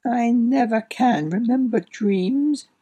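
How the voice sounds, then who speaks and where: A man voicing a weary, stony, witchlike woman in a storybook, creaky and high, speaking in solemn incantatory phrases. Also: takes it slowly, warm tone, highs cut off, full character voice. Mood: blank. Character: stony, weary, dry-eyed witchlike woman, coldly sorrowless.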